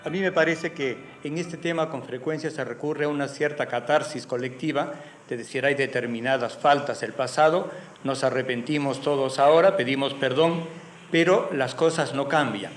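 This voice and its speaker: A man's voice: mid-range voice, normal speed. A man giving reflective academic commentary as an anthropologist. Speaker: anthropologist and historian, priest. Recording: roomy echo, faint background noise.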